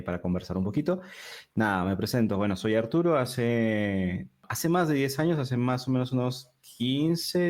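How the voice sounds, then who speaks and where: Peruvian-born man with a River Plate accent. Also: on the low side. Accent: Rioplatense Spanish. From Peru. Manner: relaxed and talkative, with frequent fillers and restarts. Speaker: guest, digital product designer. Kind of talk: casual interview conversation.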